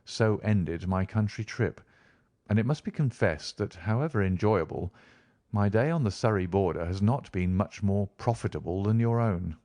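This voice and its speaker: A man voicing a confident, wry gentleman detective in an audiobook, speaking in an articulate, measured way. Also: lowish voice, expressive, dry recording, close to an everyday voice. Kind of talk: audiobook character reading.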